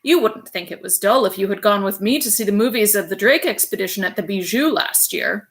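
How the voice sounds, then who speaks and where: A woman voicing a gentle bookish man. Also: mid-range voice, animated, a little fast. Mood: earnest. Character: shy dreamy middle-aged man, bookish.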